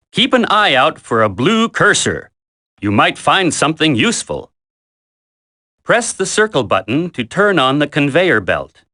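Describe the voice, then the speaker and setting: A stern combat instructor from a video game, drilling a trainee with blunt, emphatic orders. The voice sits fairly high for a male and swings in pitch with a forceful, bright edge. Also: no room sound, studio quality. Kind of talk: video game tutorial voice acting.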